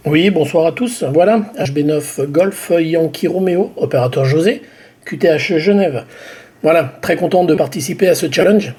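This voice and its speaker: A man's voice: on the high side, moderate pace, a little mellow, husky. A man amateur radio operator giving a brief check-in with his call sign.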